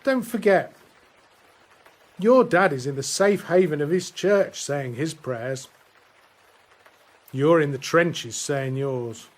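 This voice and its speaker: An actor playing a grim, weary First World War soldier counselling a lad in a radio drama, measured and earnest with pauses. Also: male voice, on the high side. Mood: grim, intense.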